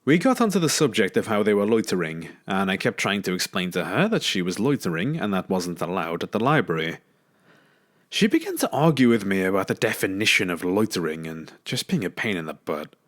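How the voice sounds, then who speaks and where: A man's voice: sits low, big pitch swings, fairly quick, a bit bright. A man reading a scripted first-person scary story as a narrator. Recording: background music under the voice. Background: music.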